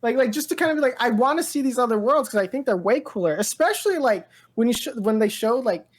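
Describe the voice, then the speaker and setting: A woman co-hosting a pop-culture podcast. She talks in a loose, gushing ramble full of filler words, like an excited fan chatting with a friend. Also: fairly high pitch, fast talker. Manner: rambling, heavy use of "like" and "dude"; enthusiastic, gushing. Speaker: podcast co-host. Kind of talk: casual pop-culture chat.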